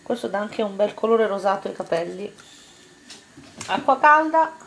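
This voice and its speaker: A woman's voice: mid-range voice, expressive, normal speed, creaky, a little hiss or hum, low-quality recording. A woman talking viewers through a home cooking recipe step by step in a casual, chatty way. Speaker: cooking vlogger. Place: home kitchen table, indoors.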